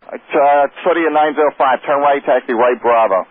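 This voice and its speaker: A man working as an air traffic controller, giving clipped, brisk, procedural instructions over radio. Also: mid-range voice, dry recording, phone-quality sound. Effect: radio transmission.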